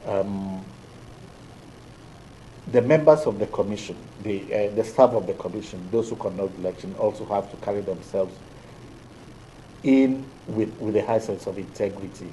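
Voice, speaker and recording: A middle-aged Nigerian man, an electoral official, answering interview questions in a TV studio in a deliberate, hesitant way with many fillers. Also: fairly low pitch, warm tone, a little hiss or hum, rough recording. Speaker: electoral commission official, guest.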